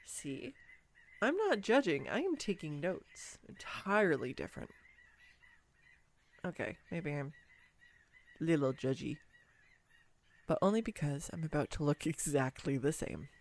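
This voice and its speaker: Woman playing clumsy young female date, giddy and nervous, very animated pitch, unhurried halting delivery, awkward self-deprecating rambling, romantic audio roleplay. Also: heavily acted. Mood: delighted, teasing. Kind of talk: scripted romantic audio roleplay addressed to the listener.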